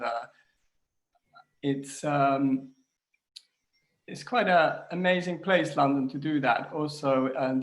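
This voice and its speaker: Man from Switzerland, English speaker, halting speech full of ums, home kitchen, video call. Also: mid-range voice, rough recording. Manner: hesitant, many fillers and restarts. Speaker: designer, interview guest. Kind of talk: casual video-call interview.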